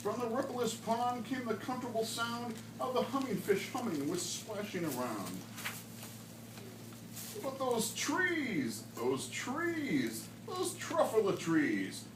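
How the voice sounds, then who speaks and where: A man voicing an old, reclusive storybook creature who tells a wistful tale with drawn-out emphasis. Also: high voice, full character voice. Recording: echoey noisy classroom, distant mic.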